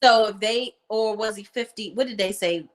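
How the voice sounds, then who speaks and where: A chatty woman with a drawl, co-hosting a panel about reality TV. Her voice sits in a mid range, and she talks loosely, dropping into a lower voice when she quotes people. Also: normal speed. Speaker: co-host of a reality TV opinion panel. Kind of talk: casual chat.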